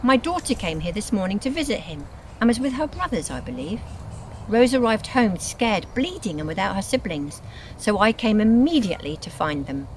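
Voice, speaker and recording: A woman's voice: medium pitch, big pitch swings, normal speed, a little hiss or hum, natural voice, low-quality recording. A woman voicing a worried but firm father in a storybook, polite and insistent.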